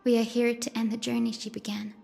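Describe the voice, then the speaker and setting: Brief: a soft-spoken, earnest young sky maiden; a fairly high voice, lingering reverb, faint music beneath. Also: female voice, little pitch movement, fast, gravelly, husky, heavily acted. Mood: solemn. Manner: soft, earnest storyteller, rising to solemn invocation. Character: gentle earnest young woman of sky-dwelling lineage. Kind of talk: game cutscene voice acting.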